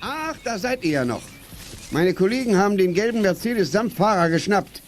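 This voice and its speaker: An actor playing an authoritative, formal police officer in a children's radio play, brisk and firm. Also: male voice, sits high, expressive, slight background noise. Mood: official.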